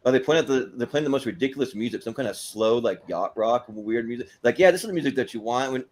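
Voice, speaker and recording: A man's voice: medium pitch, lively intonation, quick pace, darkish tone. Casual man hosting a chatty panel. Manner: chuckles often while talking. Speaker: host of a film tournament panel show.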